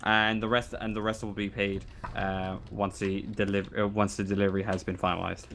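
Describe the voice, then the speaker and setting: Male game master, improvised tabletop narration, halting and conversational delivery. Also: on the low side, flat delivery, creaky, a bit bright, slight background noise.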